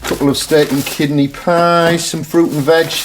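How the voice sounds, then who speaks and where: An actor playing an educated middle-aged man who asks questions calmly. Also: on the high side, normal speed, faint music behind, close to an everyday voice, rough recording. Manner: calm, gently questioning. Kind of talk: radio drama acting.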